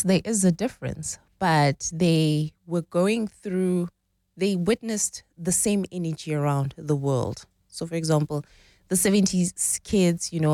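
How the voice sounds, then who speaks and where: Low, mellow-voiced woman in her forties. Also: no echo, studio quality. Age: 40s. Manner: thoughtful, explanatory, often checks with "right?". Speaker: relationship expert guest. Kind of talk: conversational podcast interview.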